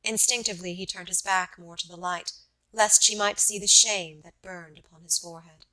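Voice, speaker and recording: A woman reading literary fiction as an audiobook narrator, measured and precise in her phrasing. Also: fairly low pitch, steady tone, sharp tone, poor audio.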